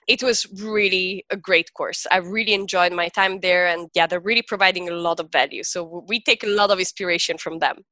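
A young woman talking about founding her training school, chatty and animated, full of "you know" and "like". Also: medium pitch, no echo. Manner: animated, rambling, many "you know" and "like" fillers. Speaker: founder of a sales training school, podcast guest. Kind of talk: casual interview chat.

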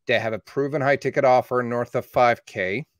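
Agency owner type, man in his 30s, plain steady delivery, dry close mic. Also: mid-range voice, normal speed, no room sound. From born in Iran, raised in Canada. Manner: steady, matter-of-fact storytelling. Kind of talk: casual conversation.

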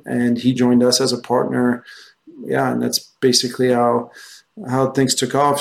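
A middle-aged man who grew up in France with French as his first language speaks as an interview guest. His voice sits at an ordinary male pitch. He explains things in a conversational, discursive way, often by way of anecdote. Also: vocal fry. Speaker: guest, investment research executive.

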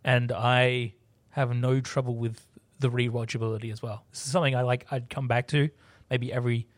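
A man's voice: medium pitch, flat delivery, a little fast, dry recording. A man giving his opinions casually as a panelist on a film podcast. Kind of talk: casual chat.

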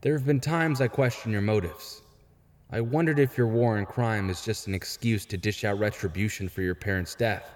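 An actor playing a frank, principled younger vigilante in an audio drama, earnest and articulate. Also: male voice, on the low side, moderate pace, lightly acted. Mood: frank, probing. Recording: long hall reverb.

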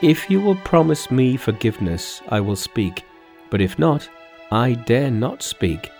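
A man's voice: mid-range voice, big pitch swings, unhurried, gravelly, a little mellow, faint music behind. A man voicing an earnest young prince who entreats his mother formally and urgently in a folk tale. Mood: pleading. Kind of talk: storybook dialogue in a narrated folk tale.

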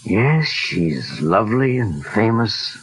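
An actor playing a courteous, shrewd bachelor detective in 1940s radio style, formal and measured in manner. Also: male voice, lowish voice, big pitch swings, rough recording. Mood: genial, curious. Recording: vintage 1940s radio recording, music behind.

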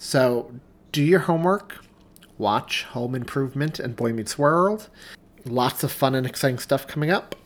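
A man's voice: medium pitch, expressive, a little slow. Enthusiastic male podcast host. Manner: enthusiastic, gushing, folksy "y'all". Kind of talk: upbeat podcast announcements.

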